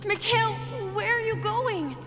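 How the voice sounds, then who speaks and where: A woman's voice: extremely high pitch, measured pace, in a large hall, music in the background. A woman voicing a small, timid young child in a video game drama, fragile and pleading.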